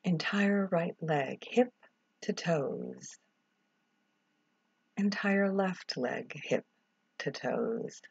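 A low, breathy woman's voice leads a guided meditation at an extremely slow pace. She speaks calmly in short, measured phrases and leaves long pauses between them. The recording is a little dull. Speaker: yoga relaxation instructor. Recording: dull, roomy, echoing sound.

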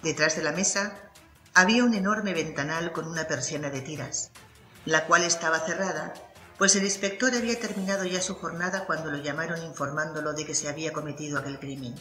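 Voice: medium pitch, a little fast, sharp tone, roomy sound, faint music behind. A narrator reading a scripted crime story for an audiobook.

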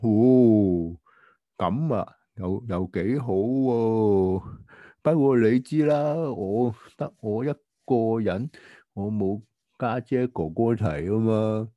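A man voicing an apologetic, careless young boy in a children's radio drama, with drawn-out, hesitant excuses. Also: on the low side, no echo, lo-fi sound. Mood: apologetic, excusing.

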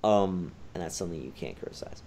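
A man's voice: deep voice, lively intonation, fast talker, dark tone, a little hiss or hum. A man talking casually as a podcast host, in a rambling way.

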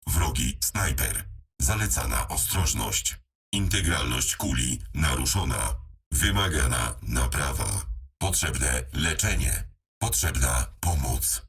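A man playing a robotic battle mech computer that announces military status in clipped, deliberate phrases through machine-like processing. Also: very deep voice, sing-song, vocal fry, fairly bright tone, breathy edge, far from the mic, full character voice. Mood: coolly commanding. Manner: terse, deliberate machine-like delivery.

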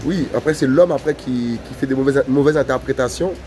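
A man's voice: medium pitch, fairly quick, a crowd in the background. A man interviewing as a street evangelist in a conversational, insistent way, often repeating himself. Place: outdoors on a city street. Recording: outdoor street noise.

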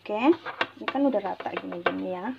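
A woman's voice: medium pitch, fairly quick, vocal fry, highs cut off. Woman chatting over kitchen noise. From Indonesia. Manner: chatty, repetitive, peppered with English words. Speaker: home cooking vlogger. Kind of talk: casual unscripted cooking tutorial. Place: home kitchen, indoors. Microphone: handheld phone behind the camera. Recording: noisy kitchen with frying sizzle. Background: frying sizzle, stir noises.

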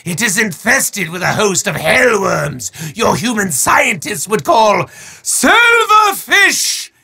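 An actor playing a bombastic, boastful evil wizard in a video game, with a raspy voice and theatrical, drawn-out proclamations that break into shouts. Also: male voice, high voice, big pitch swings, full character voice. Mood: menacing, grandiose. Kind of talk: video game villain voice acting.

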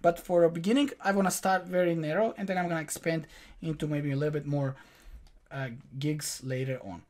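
A man's voice: a little high, fairly even intonation, brisk, a little mellow. Young Croatian man teaching step by step. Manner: talks viewers through each click, direct and instructive. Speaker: online tutorial host. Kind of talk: screen-recorded step-by-step tutorial. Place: small room indoors.

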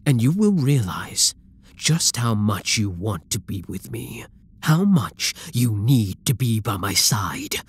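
A man playing a dominant, obsessive supervillain in an ASMR roleplay, breathy and husky, speaking with slow, possessive menace. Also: fairly low pitch, full character voice. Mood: possessive, menacing. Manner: slow, menacing, possessive, often dropping to a whisper. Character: dominant, obsessive male supervillain taunting captured hero. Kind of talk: ASMR roleplay, scripted dramatic monologue.

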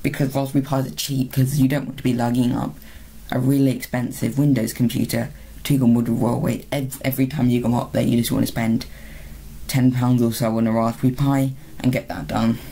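A video host narrating an informal screen-recorded tutorial in a halting way, often rephrasing mid-word. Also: mid-range voice, level tone, gravelly, mellow, husky. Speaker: video host, hobbyist presenter.

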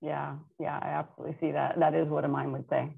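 Low, husky woman on thin call audio. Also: flat delivery, fast talker. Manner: hesitant, groping for phrasing. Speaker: audience member asking a question. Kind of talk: casual unscripted question. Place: indoor home room on a video call. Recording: muffled, phone-like video call audio.

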